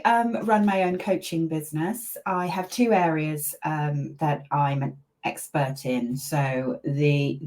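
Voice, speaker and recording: An older woman, a grandmother who grew up in Malaysia, talks about her life in a low, easy voice. She chats casually with plenty of fillers, laughing now and then, like a guest on a video call. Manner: chatty, warm laughs, many fillers. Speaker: parenting coach, interview guest.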